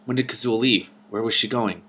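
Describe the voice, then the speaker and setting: A man's voice: medium pitch, a little fast, pushed and bright. A man voicing an earnest, puzzled forest king who questions things and sounds easily surprised, in a storybook reading. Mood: concerned, questioning.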